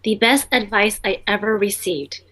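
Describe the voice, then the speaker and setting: A woman's voice: medium pitch, moderate pace, fairly bright tone. A young woman entrepreneur, a native Vietnamese speaker, answering interview questions in a chatty way full of ums and restarts.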